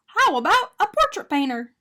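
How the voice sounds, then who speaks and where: A very high, swooping little voice for an eager male doughnut in a storybook, performed by a woman. He is bubbly and earnest, and he exclaims his lines with open emotion. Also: big pitch swings, moderate pace, sharp tone, full character voice. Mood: hopeful, pitching ideas. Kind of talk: animated picture-book character reading.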